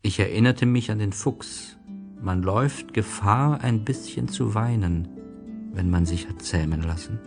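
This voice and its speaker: A man with a fairly deep voice narrates a literary story. His delivery is scripted and expressive, shaped as dramatic storytelling. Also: measured pace, loud music behind the voice.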